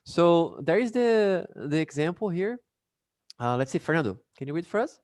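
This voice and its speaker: A man's voice: a little high, big pitch swings, moderate pace, a little mellow, dry recording. A Brazilian man with a Brazilian Portuguese accent, teaching an English class casually over a video call and chatting with many fillers.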